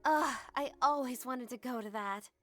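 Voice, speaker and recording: A woman's voice: high voice, normal speed, faint music behind, lightly acted. A woman playing a spirited, protective young huntress in an audiodrama. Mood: eager. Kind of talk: audiodrama acting.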